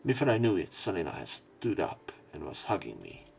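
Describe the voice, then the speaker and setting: A man reading a visual novel aloud as a let's player, in an expressive, dramatic narration style. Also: low voice, brisk, gravelly, faint music behind. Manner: expressive, with emotional swells.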